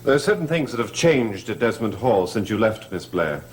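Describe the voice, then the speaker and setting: Bitter, low-voiced gothic mansion master. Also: male voice, very animated, moderate pace, noisy background. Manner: formal, clipped, cold. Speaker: actor in a gothic television soap opera. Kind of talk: melodramatic soap opera acting. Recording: old TV soundtrack with loud orchestral music.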